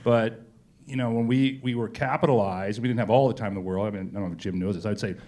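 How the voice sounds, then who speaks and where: A man's voice: fairly low pitch, fast talker, warm tone. A man speaking as a company executive in a casual on-stage interview, telling colloquial stories with frequent asides.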